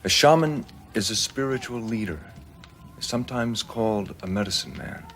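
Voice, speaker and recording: Deep-voiced, measured colonel. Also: male voice, expressive, a bit bright, a little hiss or hum. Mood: solemn storytelling. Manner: measured, solemn storytelling with pauses. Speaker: military colonel. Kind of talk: television drama acting, storytelling.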